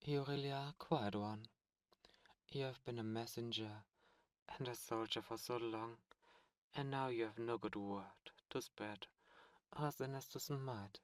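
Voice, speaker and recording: Man playing a silky, slow-talking demon prince. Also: medium pitch, fairly even intonation, clean tone, no room sound, lightly acted. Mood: silky teasing. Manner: soft-spoken, silky and playfully menacing. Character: suave, teasing male demon prince. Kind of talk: ASMR audio roleplay.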